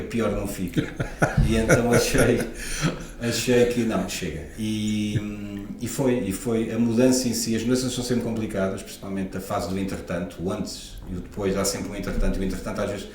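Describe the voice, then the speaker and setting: A man's voice: lowish voice, a little mellow, slight background noise. A man in his forties from Lisbon with a European Portuguese accent, a guest osteopath talking reflectively with many hesitations. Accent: European Portuguese, Lisbon area. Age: 40s. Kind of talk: casual interview talk. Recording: echoey room, off-mic, faint ambience.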